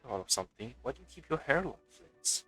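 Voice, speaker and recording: A man's voice: medium pitch, sing-song, brisk, pushed and bright, low-quality recording. A man streaming a video game live, giving casual commentary as he plays. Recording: game music behind the voice.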